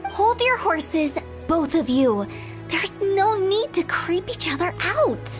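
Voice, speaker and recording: A woman's voice: very high-pitched, big pitch swings, slow pace, faint music behind, exaggerated voice. A woman playing a confident, mischievous young funeral director in a video game, explaining things with theatrical assurance. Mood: bossy, reassuring.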